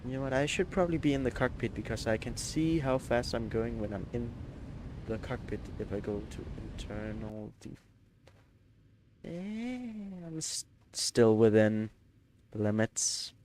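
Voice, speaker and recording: A man's voice: mid-range voice, animated, unhurried, a little mellow, a little hiss or hum. A man from Denmark, chatting hesitantly with many fillers while streaming a flight simulator. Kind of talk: live stream gameplay chat.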